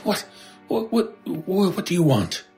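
A man's voice: sits high, very animated, unhurried, mellow, faint music behind, heavily acted. An actor playing a nervous, frightened but placating male shopkeeper in a horror radio drama, anxious and prone to stammer. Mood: wary. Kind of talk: old time radio style horror audio drama.

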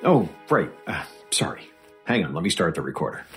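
Government scientist, lowish voice, hesitant, apologetic, earnest, audio drama, faint music behind. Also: male voice, very animated, brisk, fairly bright tone. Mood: sheepish, eager. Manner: hesitant, fumbling, conciliatory. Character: awkward, apologetic, earnest male government researcher. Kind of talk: naturalistic audio drama acting.

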